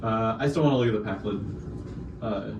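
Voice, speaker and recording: A man's voice: lowish voice, a little fast, gravelly. A man talking casually as a player in a tabletop roleplaying game. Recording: roomy distant mic, noisy background.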